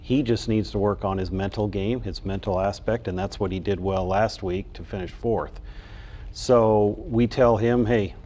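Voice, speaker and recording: Male coach as interview guest, lowish voice, casual delivery, faint noise. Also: fairly even intonation, moderate pace, dark tone.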